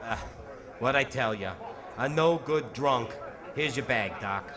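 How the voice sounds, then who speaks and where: An actor playing a gruff, cynical veteran ambulance driver with slangy, sarcastic, impatient speech in old-time radio theatre. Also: male voice, mid-range voice, expressive, a little slow, crowd noise, lightly acted. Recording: noisy radio drama with background ambience.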